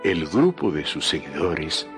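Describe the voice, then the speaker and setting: A man narrating a dramatized Bible story in an expressive storytelling manner. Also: very deep voice, a little slow, over music.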